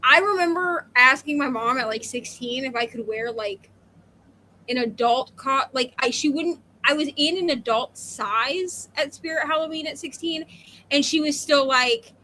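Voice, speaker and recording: A woman co-hosts a relaxed book chat, telling things conversationally with plenty of asides. Her voice is high and bright, and there is slight noise behind it. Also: moderate pace, rough recording. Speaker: book discussion co-host. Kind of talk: casual chat, personal anecdotes.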